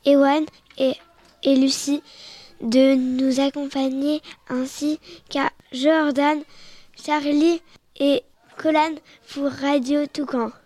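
A woman's voice: high-pitched, flat delivery, slow pace, dark tone, no echo. A young co-host of a children's radio show who reads her lines carefully and asks the interview questions. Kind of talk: reading a script, asking interview questions.

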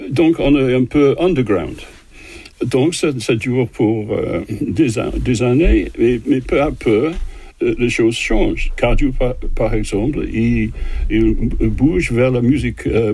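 Older guy, husky voice, dry close sound, lots of euhs and restarts. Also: mid-range voice, a little mellow, no room sound. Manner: halting, many fillers and restarts. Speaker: composer guest. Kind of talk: interview answers in a second language.

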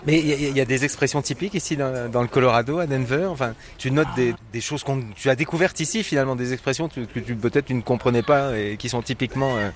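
A man's voice: mid-range voice, quick pace, few pauses, slight background noise. A man, hosting a casual interview and prompting his guest with questions and informal remarks.

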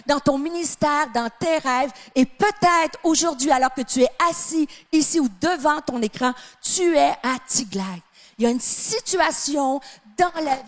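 High-voiced Québécois woman preaching. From Canada. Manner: emphatic, drawn-out phrases with sudden drops to a soft tone. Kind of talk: sermon. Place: church with live and online audience.

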